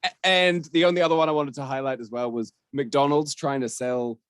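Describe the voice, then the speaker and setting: A man's voice: medium pitch, steady tone, brisk, smooth, no echo, clean studio sound. A man hosting a casual podcast discussion in a conversational, wry way. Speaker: podcast host.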